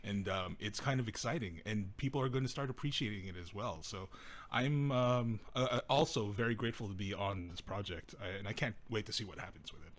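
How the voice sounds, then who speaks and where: A young man chatting casually on a video call, animated and laughing, turning quieter and reflective when he talks about pride. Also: on the low side, a little fast.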